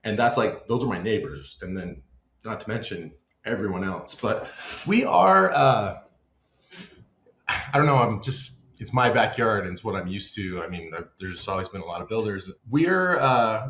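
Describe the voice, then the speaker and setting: A man from Utah, a construction company owner, chatting in an easygoing, halting way as a podcast guest. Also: lowish voice, big pitch swings, a bit bright, roomy sound, a little hiss or hum. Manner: easygoing, halting, with fillers and laughs. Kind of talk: casual interview chat.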